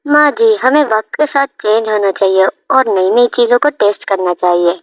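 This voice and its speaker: A woman's voice: fairly high pitch, moderate pace, no echo, lightly acted. A woman voicing a bold, modern young daughter-in-law in a family cartoon, with an insistent manner. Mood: coaxing. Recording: dull lo-fi audio, music behind.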